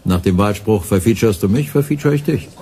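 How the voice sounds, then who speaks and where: Low-voiced, flippant radio colleague. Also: male voice, lively intonation, fast, background noise. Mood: wry banter. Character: flippant, beer-drinking male radio colleague. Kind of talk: radio drama dialogue.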